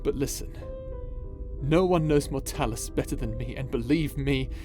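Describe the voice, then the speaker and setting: A man's voice: medium pitch, normal speed, natural voice. A man playing an impassioned space pirate captain in an audio roleplay, with a dramatic, emotive delivery full of sighs. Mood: earnest, emphatic. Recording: voice over background music.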